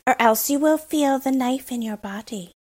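A woman's voice: high-pitched, normal speed, voice pushed up. A woman reading a storybook, voicing a fierce, blunt young robber girl who talks in a bossy way.

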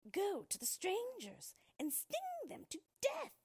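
A woman voicing a furious wicked witch who barks orders in a high, piercing voice. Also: huge pitch swings, takes it slowly, a little mellow, exaggerated voice. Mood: commanding.

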